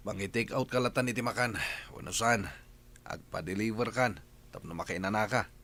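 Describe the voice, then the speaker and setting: An actor with a Filipino accent playing a lazy, irritable young boyfriend in a radio drama, sighing and grumbling dismissively. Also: male voice, fairly low pitch, a little fast, a bit bright, lightly acted. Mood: irritated.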